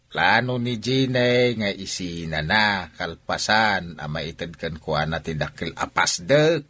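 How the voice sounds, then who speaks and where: A man's voice: sits low, lively intonation, a little slow, pushed and bright, dry recording, full character voice. A man with an Ilocano accent playing a conniving, hesitant older father in a radio comedy-drama. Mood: explaining.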